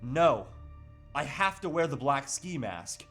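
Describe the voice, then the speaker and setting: Man acting a melodramatic, determined husband, swooping pitch. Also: sing-song, takes it slowly, fairly bright tone, faint music behind, exaggerated voice. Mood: defiant, melodramatic. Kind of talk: amateur comedy table read.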